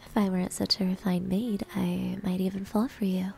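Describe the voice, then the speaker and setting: A woman playing a proud, easily flustered maid with a hidden soft side in an ASMR roleplay, breathy and often whispering. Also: mid-range voice, flat delivery, normal speed, faint music behind. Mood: flustered, coy. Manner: coy, grudging, flustered.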